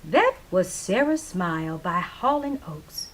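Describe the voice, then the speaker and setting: A woman's voice: fairly low pitch, very animated, takes it slowly, pitched below the performer's own voice, full character voice. A woman voicing an opinionated small-town radio DJ of the 1970s, speaking in an emphatic, preachy way in a stage play reading. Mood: upbeat.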